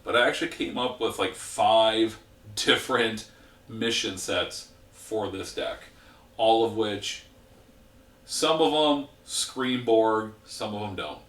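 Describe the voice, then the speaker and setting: A man hosting a gaming channel, explaining a card game deck in a rambling, relaxed way with a congested voice that is giving out. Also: fairly low pitch, slow, roomy sound, recorded from a distance.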